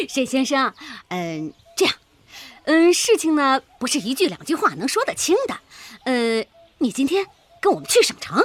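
Casting: persuasive female boss, radio drama, high bright-spirited pitch, very animated, breezy and insistent. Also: moderate pace, no echo, full character voice. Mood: coaxing. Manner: breezy, pushy, gushingly hospitable. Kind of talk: Chinese radio drama acting.

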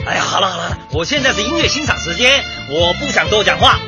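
An actor playing a cocky, argumentative young DJ with dyed hair in a light comedy radio drama. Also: male voice, sits high, big pitch swings, quick pace, vocal fry, music in the background, heavily acted. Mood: defiant, argumentative. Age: young adult. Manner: brash, glib, protesting, lecturing.

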